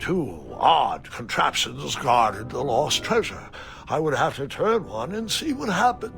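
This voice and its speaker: Whimsical elderly grandpa narrator, adventure game; gravelly, very lively intonation, theatrical and gently teasing. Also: male voice, mid-range voice, unhurried, over music. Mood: wistful, dramatic. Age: older. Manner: dramatic, savoring words, gently teasing. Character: whimsical old grandfather telling his adventures. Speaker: storyteller narrator.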